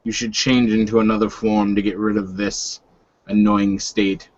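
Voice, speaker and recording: A man playing a gruff, sardonic male werewolf warden in a tabletop game, with a dry delivery. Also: lowish voice, little pitch movement, normal speed, highs cut off, lightly acted.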